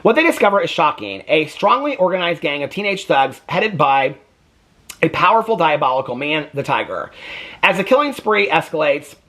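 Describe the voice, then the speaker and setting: A man's voice: fairly high pitch, normal speed. A man chatting casually about books he has read, reading synopses aloud and breaking off with wry complaints and asides. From United States. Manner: animated, chatty, self-interrupting, wry complaints. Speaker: book reviewer.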